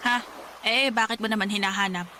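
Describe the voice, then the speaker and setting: A woman's voice: mid-range voice, fairly even intonation, brisk, sharp tone, a little hiss or hum, close to an everyday voice. An actress playing a weary, guilt-ridden old mother in a radio drama, speaking hesitantly and self-effacingly. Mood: startled, wary. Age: older. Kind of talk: Tagalog radio drama acting. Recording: music under the dialogue.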